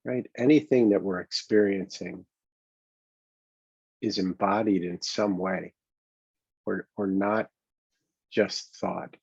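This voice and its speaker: A man with a very low, husky voice guides a meditation in a gentle, improvised way. He takes his time, halting and leaving long pauses between phrases. The recording sounds dull and lo-fi. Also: steady tone. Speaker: meditation coach leading a guided exercise. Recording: dull, boxy, roomy lo-fi sound.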